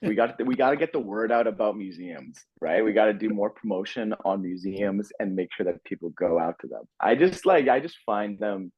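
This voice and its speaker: Husky, deep-voiced man. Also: animated, normal speed, hardly any pauses, dry recording, lo-fi sound. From Canada. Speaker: film director being interviewed. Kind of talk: casual chat.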